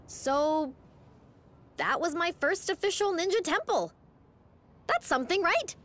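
Very high pitch, bouncy melody, bubbly, eager geeky teen game heroine. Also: female voice, a little slow, full character voice. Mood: perky. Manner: bubbly, excitable. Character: perky, eager, geeky teenage superhero girl. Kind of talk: video game cutscene acting.

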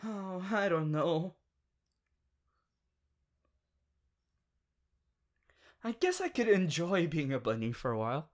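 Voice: mid-range voice, very animated, normal speed, lightly acted. A performer playing a playful, anxious young male rabbit hero boyfriend in an ASMR audio roleplay, with a hesitant, giggly manner.

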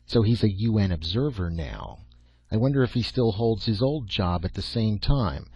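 A man's voice: fairly low pitch, animated, moderate pace, darkish tone, husky, no room sound. A man voicing an earnest young student in an audiobook, deferential and careful but a little prickly. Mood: cold, resentful. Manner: hesitant, polite, careful. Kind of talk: audiobook character acting. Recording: dull lo-fi audio.

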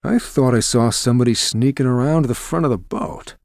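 A wistful, slightly uneasy deckhand from a video game, played by a man. He speaks at an ordinary medium pitch, recorded very dry. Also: a little fast, gravelly, a little mellow, no room sound, close to an everyday voice. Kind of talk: video game character acting.

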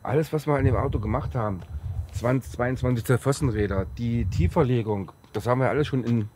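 A man in his forties with a German accent from the Halle region, a bit hoarse after a cold, chatting casually and rambling as he presents a car outdoors on a sunny lot. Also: mid-range voice, brisk, a little mellow, slight background noise. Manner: chatty, rambling, with fillers and restarts. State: slightly hoarse from a recent cold. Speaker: tuning shop presenter. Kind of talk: casual on-camera car walkaround.

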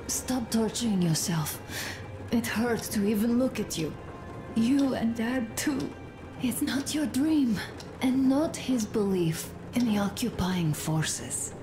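A woman playing an ailing young woman in a game, tender, weary and fatalistic, speaking haltingly and intimately. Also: a little high, lively intonation. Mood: pleading, bitter resignation. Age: young adult. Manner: slow, halting, intimate delivery. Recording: music under the voice.

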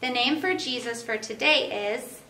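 A woman in her thirties hosting a family devotional, reading clearly and steadily in a living room. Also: fairly high pitch, measured pace, sharp tone. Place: living room by a Christmas tree, indoors. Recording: roomy, distant camera sound.

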